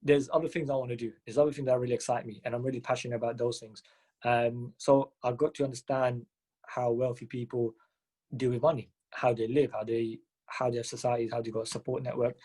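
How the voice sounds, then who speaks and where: A young man from Mumbai with a London accent, telling stories as a podcast guest, quick and animated with lots of fillers. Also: mid-range voice, husky. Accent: London English. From Mumbai, India. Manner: fast, animated, with many fillers and restarts. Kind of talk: casual interview storytelling.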